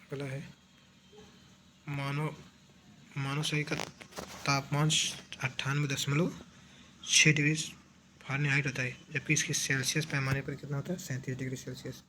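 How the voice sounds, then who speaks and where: A man's voice: medium pitch, little pitch movement, moderate pace, long pauses, pushed and bright. Male exam tutor, noisy recording. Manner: didactic, asks questions then repeats the answers. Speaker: exam coaching teacher. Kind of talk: question-and-answer exam revision lesson.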